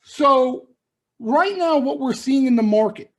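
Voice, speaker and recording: A man's voice: extremely high pitch, animated, a little slow. A middle-aged man hosting a trading broadcast, giving blunt, emphatic market talk in street-wise slang and constantly checking in with "okay?" and "right?". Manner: emphatic, punctuates nearly every point with "okay?" and "right?". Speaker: trading room host. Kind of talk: live market commentary broadcast.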